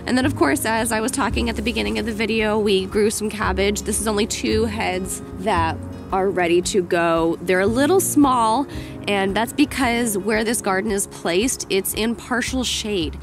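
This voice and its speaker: Woman gushing about her garden outdoors, bit of vocal fry, music bed playing. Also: medium pitch, moderate pace. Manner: enthusiastic, gushing about plants. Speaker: homesteading vlogger. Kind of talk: casual garden tour vlog.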